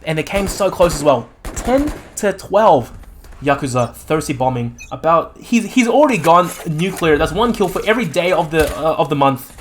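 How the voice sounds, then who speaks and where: A man working as an esports caster and interviewer, with a slightly croaky voice and an excitable way of talking. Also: on the high side, moderate pace, a little hiss or hum. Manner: excitable, exclaiming at big plays. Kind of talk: live game commentary and post-match interview.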